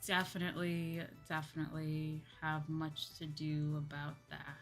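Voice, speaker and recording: A young adult woman in a casual livestream chat, talking as a streamer to viewers in an animated, exclamatory way with acted-out asides. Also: low voice, measured pace. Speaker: VTuber streamer chatting with viewers. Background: background music.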